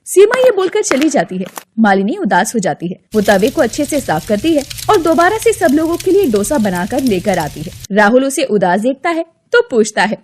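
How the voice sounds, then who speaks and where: A woman narrating a cartoon moral story in an even storytelling manner. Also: high-pitched, moderate pace, hardly any pauses, slight background noise.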